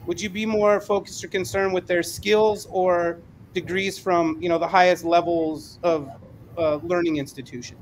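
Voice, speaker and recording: A middle-aged man hosting a conversational panel discussion on a video call. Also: high-pitched. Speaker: host. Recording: noticeable background noise, video call.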